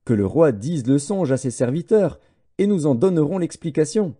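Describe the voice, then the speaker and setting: Man reading as a deferential, dark-voiced court astrologer. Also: on the high side, animated, moderate pace, slightly rough. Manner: formal, deferential. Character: deferential male court astrologers pleading with their king. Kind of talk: dramatised scripture reading.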